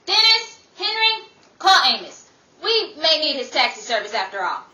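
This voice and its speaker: A woman playing a bossy, brisk Hollywood party organiser in an old-time radio comedy, emphatic as she directs everyone. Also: high voice, sing-song. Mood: brisk, urgent. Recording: roomy, distant mic with clear noise.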